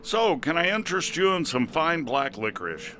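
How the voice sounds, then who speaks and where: Man playing a bright, cheerfully unhelpful salesman. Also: fairly high pitch, big pitch swings, moderate pace, soft background music. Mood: breezily unhelpful. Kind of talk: comedic radio play acting.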